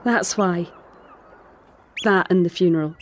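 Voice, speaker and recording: A woman playing a troubled, defensive island woman in an audio drama, speaking in a halting, distressed way. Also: fairly low pitch, slight background noise, close to an everyday voice. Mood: defensive, bitter.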